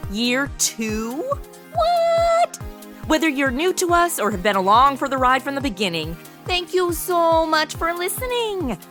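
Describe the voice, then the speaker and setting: A naive, melodramatic young woman voiced by an actress in a comedy audio drama. Her voice is high, bright and swoops with exclamations. She is perky, flippant and slangy. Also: wildly swooping pitch, a little slow, soft background music, full character voice. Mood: bubbly.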